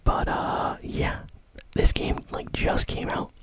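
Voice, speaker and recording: A person whispering casually in an ASMR style, hosting a news update in informal, conversational phrasing. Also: takes it slowly. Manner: hushed whispering throughout. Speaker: gaming news host.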